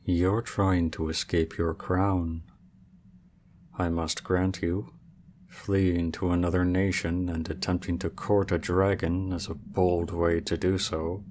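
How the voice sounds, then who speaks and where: Man playing low, aloof dragon in human form. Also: little pitch movement, a little mellow, a little hiss or hum. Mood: proud, cool. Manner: formal, measured, cool and reserved. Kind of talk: ASMR roleplay acting.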